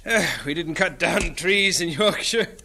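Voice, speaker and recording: An actor playing an earnest, self-doubting young English missionary in an audio drama. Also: male voice, sits high, a little slow, bright, forceful tone, slight background noise, exaggerated voice. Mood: friendly, tired.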